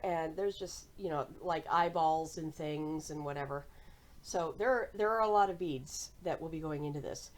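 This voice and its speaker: A woman with an American accent talks in a casual, chatty vlog style. Her voice sits a little lower than average for a woman. She comes across as cheerful and conversational as she addresses her viewers. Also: a little mellow. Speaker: cross-stitch vlogger and host.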